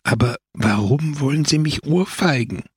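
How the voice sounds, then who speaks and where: A man acting out little young priest, proud, stubborn, raised shrill-edged voice, storybook character, dry sound. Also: expressive, moderate pace, a little mellow, breathy edge, no echo. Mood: timid. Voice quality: shrill when roused.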